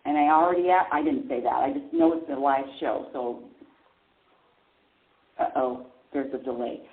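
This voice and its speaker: A woman's voice: very deep voice, normal speed. A woman hosting a live call-in spirituality radio show, chatting casually and rambling with frequent restarts. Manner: chatty, rambling, restarts often, laughs easily. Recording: echoey, tinny phone-line sound.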